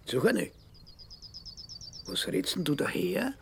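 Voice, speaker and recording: An actor playing a sly, folksy old farmer in a radio play. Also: male voice, high voice, very animated, slow, fairly bright tone, slight background noise, full character voice. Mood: mocking. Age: older. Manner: folksy, mocking, gravelly.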